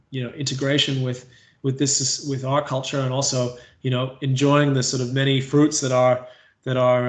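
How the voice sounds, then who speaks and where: Hesitant Canadian man, flat and echoey. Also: medium pitch. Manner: hesitant, many fillers and restarts. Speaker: water safety trainer. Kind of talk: staff training webinar presentation.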